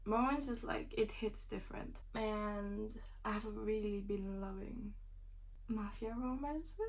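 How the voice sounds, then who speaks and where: A woman in her twenties, a non-native English speaker, chatting casually to camera from her bedroom, rambling with many fillers, her phrase ends trailing into a breathy whisper. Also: medium pitch, takes it slowly, rough recording. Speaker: student vlogger.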